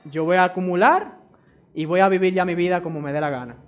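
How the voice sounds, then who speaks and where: Emphatic high-voiced preacher. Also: male voice, fast, dull audio. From Dominican Republic. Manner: emphatic, repeats key phrases; addresses the congregation directly. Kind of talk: sermon.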